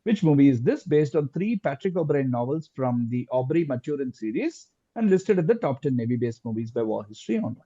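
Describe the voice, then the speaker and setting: Mellow, husky male quizmaster, dull audio. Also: mid-range voice, very animated, no room sound. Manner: deliberate, repeats answers and phrases. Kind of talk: reading quiz questions aloud, live.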